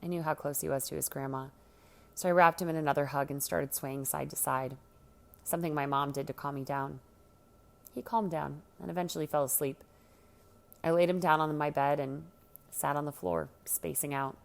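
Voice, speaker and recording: A woman narrates a first-person teen story in a low register with noticeable vocal fry. Her reading is scripted and expressive, with a dramatic storyteller's delivery. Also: moderate pace. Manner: dramatic, acts out the dialogue. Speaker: story reader.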